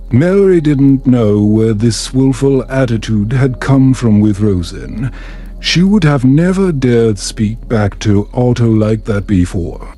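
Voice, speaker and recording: Male narrator for sleep stories: calm, low-key, soft dark tone, music underneath. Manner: calm, unhurried, soothing. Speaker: storyteller, narrator. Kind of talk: scripted bedtime story narration, calm ASMR-like reading.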